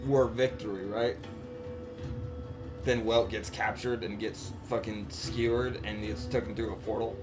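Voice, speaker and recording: Man, casual live stream talk, informal, little pitch movement, game soundtrack underneath. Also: fairly even intonation, a little slow, rough recording. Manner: informal, rambling, slangy. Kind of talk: casual live stream chat recapping and theorizing. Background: game music.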